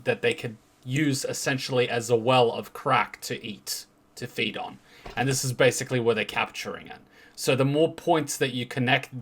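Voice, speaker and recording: A man with a medium-pitched voice talks casually as host of a game reaction. He is irreverent and joking, and he repeats words to drive a point home.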